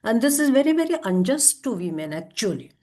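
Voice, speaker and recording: A woman's voice: fairly high pitch, sing-song, fairly quick, warm tone. A woman with an Indian accent giving her view as a participant in an informal discussion. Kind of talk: informal discussion, offering a critical opinion.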